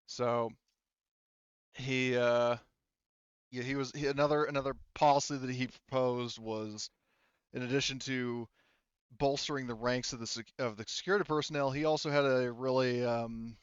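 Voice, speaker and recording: A man narrates a tabletop roleplaying game as game master. His voice is smooth and mid-pitched, and he talks in a halting, rambling way. The recording is dry and a little muffled. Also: no room sound. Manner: halting, rambling, self-correcting.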